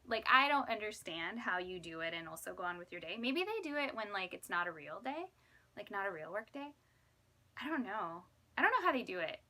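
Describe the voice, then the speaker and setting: A woman in her thirties chatting casually to viewers in an animated, self-deprecating, exclamatory way, like a vlogger. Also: mid-range voice. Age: 30s. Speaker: vlogger and fifth grade teacher. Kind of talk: casual chatty vlog to camera.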